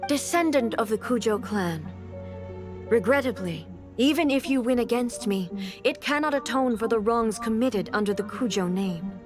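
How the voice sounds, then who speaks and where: Woman playing an imperious female shogun, stern and higher-pitched. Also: animated, moderate pace, music in the background, lightly acted. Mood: solemn, stern. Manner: formal, commanding, coldly blunt. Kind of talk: dramatic video game acting.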